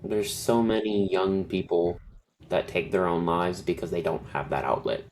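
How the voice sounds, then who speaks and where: A deep-voiced man hosts a relaxed interview and mostly listens, adding short affirmations. His voice sits very low, with a faint hiss behind it. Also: normal speed, rough recording. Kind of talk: conversational interview.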